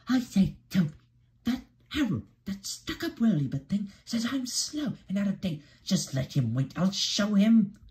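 Storybook engine, male, young and proud, played by a man, high-voiced, excitable and huffy. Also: measured pace. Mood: indignant. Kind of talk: children's storybook character voice.

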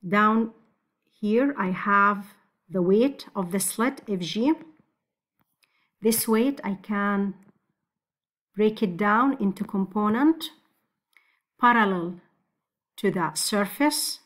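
Slow, careful woman physics tutor. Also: mid-range voice, a bit bright. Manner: slow, step-by-step explaining with restarts. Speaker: physics teacher. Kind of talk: screen-recorded lesson solving exam problems. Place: voice-over on a screen recording.

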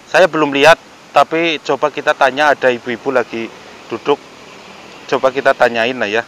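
A man's voice: medium pitch, little pitch movement, normal speed, a bit bright, slight background noise. A middle-aged man with a Javanese accent, speaking casually and politely as an on-location vlogger, slightly muffled by a face mask. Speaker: vlogger helping homeless people. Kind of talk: casual on-location vlog narration and chat. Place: outdoors, yard and riverside by a road bridge.

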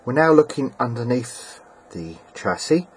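A man giving a casual, plain-spoken and slightly halting hobbyist demonstration, presenting his own equipment. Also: medium pitch, very animated. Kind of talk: casual hobbyist demo narration. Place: indoors at a workbench. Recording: clear background noise, amateur camera audio.

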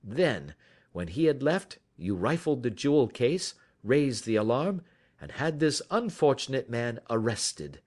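A man voicing a stern, commanding detective in an audiobook, measured, authoritative and sardonic as he accuses. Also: medium pitch, sing-song, darkish tone, pitched above the performer's own voice. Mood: cool accusing. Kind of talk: classic detective audiobook character acting.

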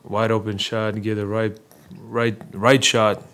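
Man, 30s, low monotone, halting speech, many fillers, postgame press conference, table mic. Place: small press room. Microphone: table mic in front.

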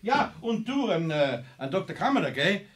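Husky, fussy, evasive Bavarian fellow. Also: male voice, high voice, big pitch swings, normal speed, exaggerated voice. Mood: awkwardly evasive. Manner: hesitant, evasive, often ending in a questioning tag. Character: fussy, awkwardly evasive male friend of craftsman. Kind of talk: children's radio play acting.

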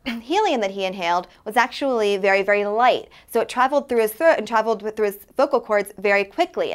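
Woman teaching chemistry at a whiteboard. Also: mid-range voice. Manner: friendly, explains step by step with many fillers. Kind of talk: whiteboard lesson. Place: studio with whiteboard, indoors. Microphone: lapel mic.

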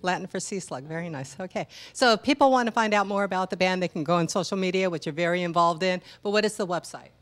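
A woman hosts a casual chat on a studio stage, speaking into a handheld mic. Her voice sits a little low, and she keeps things upbeat and chatty. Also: fast, few pauses. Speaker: host.

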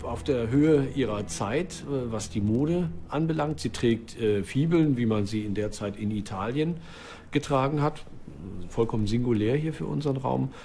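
A man gives an unscripted interview as an expert in archaeology. His voice is soft-edged and dark, he hesitates as he explains, and faint music runs underneath. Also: medium pitch, moderate pace.

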